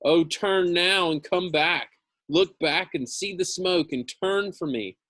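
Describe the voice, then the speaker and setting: A man's voice: high voice, takes it slowly, a bit bright, no room sound, voice pushed up. A man voicing a desperate stranded boy in a storybook, pleading urgently for rescue.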